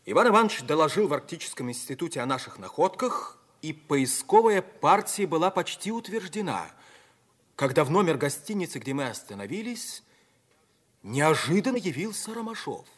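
A young male narrator recounts his own story in a scripted, dramatic style. His pitch is a little high and moves expressively, as in vintage radio drama. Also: sing-song, moderate pace. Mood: measured, storytelling.

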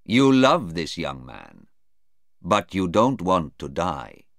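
A man playing a sarcastic, relentless prosecuting lawyer in an audiobook, deliberate and insistent. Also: low voice, sing-song, dry recording, studio quality, heavily acted. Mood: cold, insistent. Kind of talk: audiobook character voice.

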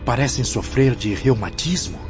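An actor playing a shocked, pitying male visitor among spirits in a radio drama, hushed, with music behind. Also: medium pitch, moderate pace, natural voice. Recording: dull lo-fi audio under music.

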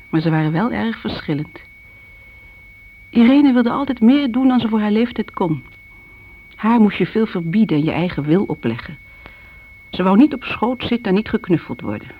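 A woman's voice: on the low side, a little hiss or hum. An actress playing a chatty, self-justifying middle-aged mother in a musing radio play monologue, on a dull old recording. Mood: fond, defensive.

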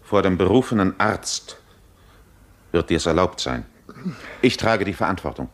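An actor in a German radio play voicing a solemn, authoritative and compassionate physician in measured declamation. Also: male voice, low voice, expressive, a bit bright, full character voice. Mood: grave, commanding.

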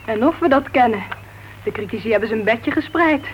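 An actress playing an outspoken, flirty married woman who writes crime novels, brisk and blunt, in a Dutch radio play. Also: fairly high pitch, lively intonation, natural voice. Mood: curious, dry. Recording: dull, noisy old radio recording.

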